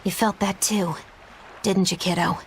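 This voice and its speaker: A woman's voice: fairly low pitch, steady tone, measured pace, slight background noise, rough recording. A woman voicing a flippant, theatrical, teasing witch in a video game, over background music. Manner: teasing, florid wording.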